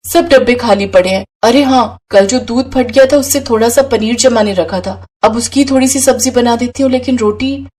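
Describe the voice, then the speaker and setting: Woman acting a high-voiced, worried poor young wife. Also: moderate pace, few pauses, a little mellow, lightly acted. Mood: resigned.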